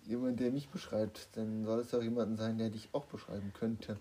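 A man's voice: fairly low pitch, little pitch movement, a little fast, dark tone. A man bantering casually and teasingly as he co-hosts a guessing game. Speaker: co-host playing a guessing game.

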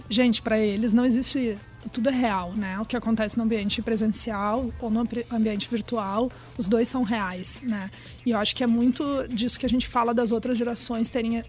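A woman's voice: fairly high pitch, a little mellow. A middle-aged woman with a Brazilian accent, explaining things in a chatty, conversational way and often checking for agreement.

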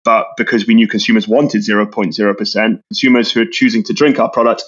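A Scottish man, a brand co-founder, talking quickly in a casual business interview and often repeating words as he restarts. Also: fairly low pitch, fairly even intonation, lo-fi sound. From Scotland.

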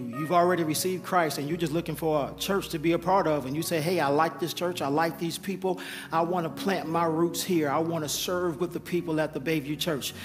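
A man who is a pastor giving an altar call and announcements to his congregation, with a hoarse voice he says he keeps losing. He talks in a coaxing, repetitive preaching manner. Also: fairly high pitch. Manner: urgent repeated coaxing, preacherly. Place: church sanctuary. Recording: live church sound with music and crowd. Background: music and congregation.

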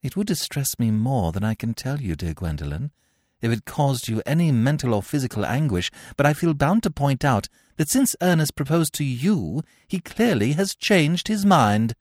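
A man voicing a sharp-tongued young country girl who speaks with falsely sweet, barbed politeness. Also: medium pitch, big pitch swings, normal speed, no echo, studio quality, pitched above the performer's own voice. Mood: indignant.

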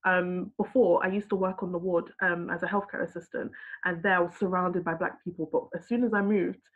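A woman's voice: on the low side, steady tone, brisk. A woman who works in healthcare, earnestly telling a frustrated personal story in a discussion. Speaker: healthcare worker. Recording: husky voice over a tinny phone-like line.

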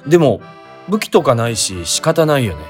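A man's voice: mid-range voice, sing-song, normal speed, faint music behind, lightly acted. A man playing a mild, naive, resigned young sightseer in a comedic radio drama, plain-spoken and bemused.

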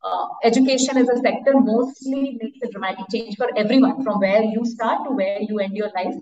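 A woman's voice: fairly high pitch, a little fast, a crowd in the background. Echoey, distant Indian-accented woman. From eastern India. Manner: rambling, peppered with "like" and "you know". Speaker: interview guest, education startup founder. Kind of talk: conversational personal storytelling. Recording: echoey, distant, muffled call-like audio.

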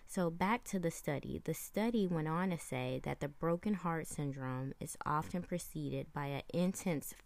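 Low-voiced woman hosting a faith podcast. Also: no echo. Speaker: podcast host. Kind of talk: podcast monologue, faith-based encouragement.

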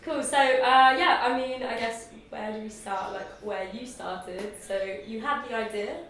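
A woman's voice: a little high, steady tone, unhurried, a bit bright. A woman hosting a casual podcast interview, bubbly and chatty, laughing often. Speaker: podcast host. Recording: very echoey room.

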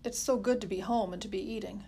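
A woman's voice: mid-range voice, quick pace, creaky, mellow, a little hiss or hum, natural voice. A woman voicing an earnest, polite and well-spoken boy.

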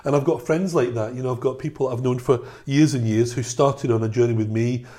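A middle-aged man from Scotland with a softened Scottish accent, co-hosting a church podcast in an earnest, storytelling way. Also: medium pitch, steady tone, a little fast. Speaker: podcast co-host, church leader. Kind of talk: casual chat.

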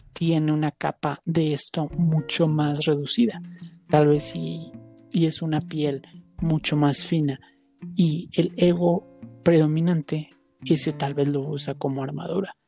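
A woman's voice: sits low, warm tone. A woman in a casual, reflective conversation, thinking aloud and searching for her words. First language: Spanish. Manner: musing, hesitant, searching for words.

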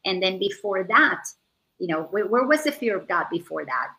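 A woman's voice: medium pitch, expressive, normal speed, bright, forceful tone, poor audio. A woman who grew up in the Dominican Republic, speaking earnestly over a video call and repeating words as she searches for them. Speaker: guest. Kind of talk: casual spiritual chat.

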